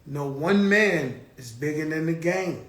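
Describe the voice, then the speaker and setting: A man's voice: fairly high pitch, animated, measured pace, a bit bright, reverberant. A man hosting his own show, talking in a blunt, profane, rambling casual monologue. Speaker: show host.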